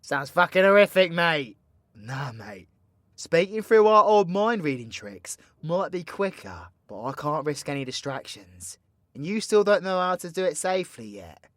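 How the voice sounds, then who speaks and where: A man's voice: on the high side, big pitch swings, normal speed, fairly bright tone, dry recording. Guy acting cocky british supervillain for audio roleplay, sweary n teasing, voice swoops about, dry close sound. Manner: slangy, swearing, swaggering, theatrical. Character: cocky, foul-mouthed male supervillain mentor, playfully teasing. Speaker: voice actor. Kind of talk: villain ASMR audio roleplay, addressing the listener.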